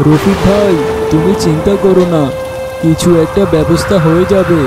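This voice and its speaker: A man playing a kindly, pious village farmer and neighbour in an animated moral cartoon, speaking earnestly and consolingly. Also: fairly high pitch, moderate pace, over loud music, close to an everyday voice. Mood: reassuring. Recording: loud rain noise behind.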